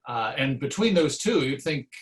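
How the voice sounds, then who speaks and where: A man with a medium-pitched voice speaks as a guest in a conversational interview. He is reflective and measured, often pausing mid-thought before carrying on. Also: animated. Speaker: interview guest, radio show host. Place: recorded virtual session.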